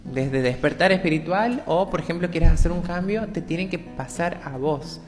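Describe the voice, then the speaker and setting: A woman's voice: fairly low pitch, normal speed, long reverb tail, music in the background. A woman in her twenties, a radio interview guest, talking openly and reflectively about her personal story. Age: 20s.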